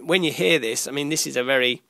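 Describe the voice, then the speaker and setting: A man giving an informal electronics tutorial, explaining step by step in a halting way, often repeating and rephrasing himself. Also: medium pitch, gravelly, pushed and bright, no room sound. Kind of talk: informal explanatory lesson walking through a diagram.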